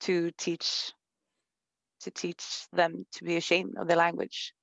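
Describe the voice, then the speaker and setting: Low gravelly Swedish-accented woman. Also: completely flat delivery, takes it slowly, no echo, lo-fi sound. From Sweden. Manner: thoughtful, hesitant, many fillers and restarts. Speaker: interviewee. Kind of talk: reflective conversation.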